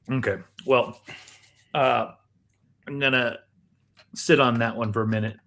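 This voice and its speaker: A man's voice: mid-range voice, steady tone, slow pace, pauses often, a bit bright. A man co-hosting a show in casual chat as he tries snacks and drinks.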